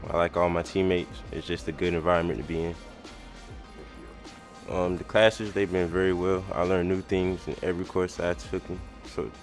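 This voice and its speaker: A young man from South Carolina, a college freshman, answering interview questions in a steady, plain way. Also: low voice. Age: young adult. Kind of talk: recorded player interview. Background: music bed.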